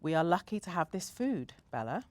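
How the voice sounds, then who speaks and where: A woman voicing a caring mother who speaks gently but firmly. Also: fairly low pitch, very animated, fairly quick, voice pushed up. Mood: gentle.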